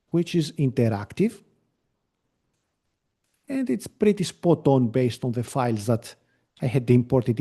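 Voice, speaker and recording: A man whose first language is Greek talks through a screen-shared software demo on a video call. His voice is mellow and sits at a middle pitch. He explains in a relaxed way, with frequent fillers and restarts. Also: breathy edge. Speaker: webinar presenter.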